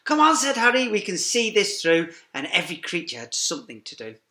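A middle-aged man teacher reading a rhyming picture book aloud to young children in a lively sing-song way, with quiet asides to them, filmed on a laptop webcam in a classroom. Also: sits high, fairly quick. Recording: echoey classroom.

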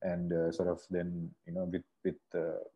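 A man's voice: low-pitched, completely flat delivery, moderate pace, warm tone, lo-fi sound. A man from Sri Lanka, a singer, talking as a guest over a video call in a casual, rambling way with many restarts. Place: bright room indoors. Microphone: earphone mic on a video call.

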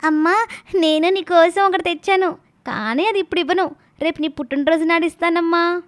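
Woman voicing an eager, very high-pitched village girl. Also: fairly quick. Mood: playful. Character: eager, cheeky young village daughter devoted to her mother. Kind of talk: animated moral story dialogue.

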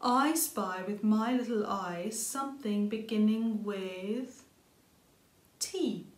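A woman voicing a kindly, playful old grandfather rabbit, calm and gently teasing, in a storybook reading. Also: medium pitch, takes it slowly, roomy sound, natural voice.

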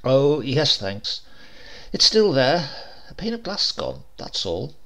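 A man reading a story voices a brisk, motherly young woman assistant in a crisp, efficient manner. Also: medium pitch, wildly swooping pitch, a bit bright, heavily acted. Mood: matter-of-fact.

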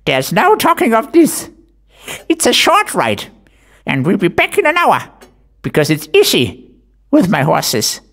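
Sly, coaxing Death figure driving a coffin wagon in a storytelling reading, high, gravelly and sing-song. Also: male voice, wildly swooping pitch, measured pace, long pauses, fairly bright tone, heavily acted. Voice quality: high, creaky, strained. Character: Death as a cunning male wagon driver.

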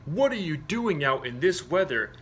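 A man doing a loud helpful fox. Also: on the high side, sing-song, moderate pace, a little hiss or hum.

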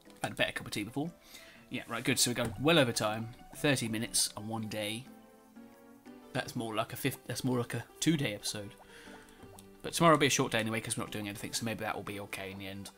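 A man's voice: lowish voice, fast talker, fairly bright tone. A man giving casual let's play commentary, a bit nasal from a cold, reading game text aloud as he plays. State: slightly nasal, sore throat. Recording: faint music behind.

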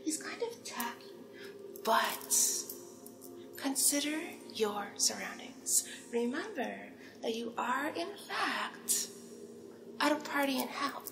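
A woman voicing a flirtatious, doting female devil in a whispered ASMR roleplay, slow and deliberate, playfully commanding. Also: sits high, expressive, vocal fry, roomy sound, over music, heavily acted. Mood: coaxing, playful. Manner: slow, deliberate whispering with lingering repeated phrases. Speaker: ASMR performer.

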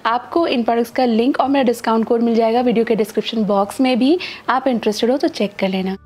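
A woman's voice: on the high side, steady tone, quick pace, darkish tone. A woman in her thirties from India, a cooking vlogger chatting casually at home on a clip-on mic, mixing in English phrases, her throat slightly sore. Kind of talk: casual vlog with sponsored segment. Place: living room at home, indoors. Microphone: clip-on lapel mic.

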